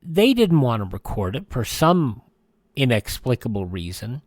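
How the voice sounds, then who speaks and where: An older American man hosting a radio show, chatting casually through dates and birthdays with rambling, folksy asides and hesitant pauses. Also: medium pitch, very animated, no room sound, clean studio sound. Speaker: radio host.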